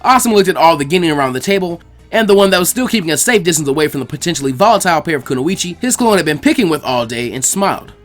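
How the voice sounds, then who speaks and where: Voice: mid-range voice, animated, rapid-fire, faint music behind. A narrator reading a fan fiction story aloud, acting out the dialogue. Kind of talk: scripted story narration with character voices.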